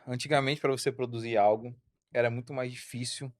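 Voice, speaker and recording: A young Brazilian man co-hosting a music podcast, telling his story in a casual, animated way. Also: mid-range voice, brisk. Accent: Brazilian Portuguese. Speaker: podcast co-host, music producer.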